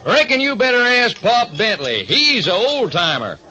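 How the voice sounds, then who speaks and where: A man's voice: high voice, big pitch swings, normal speed, sharp tone, slightly rough, pitched above the performer's own voice, full character voice. A man voicing a folksy, droll American bartender from the rural West, with a rustic drawl, in a radio drama. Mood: droll, helpful. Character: folksy small-town Western bartender, long settled, droll. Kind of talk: old-time radio detective drama. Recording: dull, band-limited recording.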